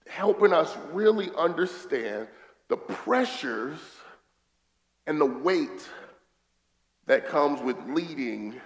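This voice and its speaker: A hoarse man preaching a sermon as a pastor, with stretches of quieter, hushed prayer into a stage microphone. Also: mid-range voice. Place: church hall. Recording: echoey hall with faint guitar music.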